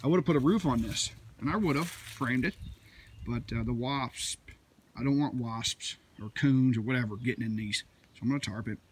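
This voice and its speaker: A man's voice: medium pitch, moderate pace, husky. A middle-aged man from Missouri, rambling proudly and folksily while walking around outdoors with his phone camera. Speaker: vlogger showing his canoe rack. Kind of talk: casual off-the-cuff walkaround. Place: outdoors in rural woods. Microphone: phone camera held while walking.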